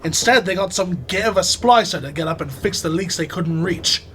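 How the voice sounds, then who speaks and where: An actor plays a determined British maintenance man, earnest and weary, in a radio drama. His voice sits fairly high, with clear noisy ambience around it. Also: normal speed, sharp tone. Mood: weary, annoyed.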